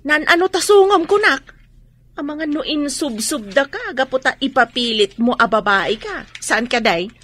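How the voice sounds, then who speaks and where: An actress playing a nosy, gossiping village storekeeper with an exclamatory manner, in a radio drama. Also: female voice, sits high, lively intonation, moderate pace, a bit bright, a little hiss or hum. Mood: prying, indignant.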